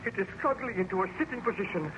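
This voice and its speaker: A man's voice: high-pitched, lively intonation, rapid-fire. A man acting an obsessed, commanding scientist in old-time radio melodrama, with a grandiose and formal theatrical delivery. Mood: commanding, urgent. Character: obsessed, triumphant male scientist, commanding master. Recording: muffled, noisy vintage radio recording.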